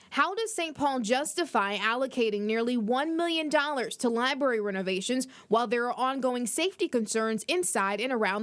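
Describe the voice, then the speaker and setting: A fairly high-voiced American woman works as a news reporter. She reads her scripted report in a standard television news style.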